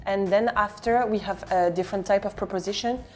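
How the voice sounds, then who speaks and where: Woman owner talking, halting and hesitant. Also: mid-range voice, fairly even intonation. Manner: halting, with many fillers. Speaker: café owner. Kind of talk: casual interview. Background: background music.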